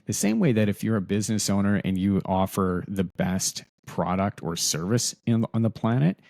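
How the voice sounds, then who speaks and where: A man with a very deep voice hosting a podcast in a casual, rambling way. He repeats words as he goes. The recording sounds dry and close. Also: lively intonation, moderate pace, creaky, a little mellow, dry recording. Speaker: podcast host. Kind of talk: casual interview talk.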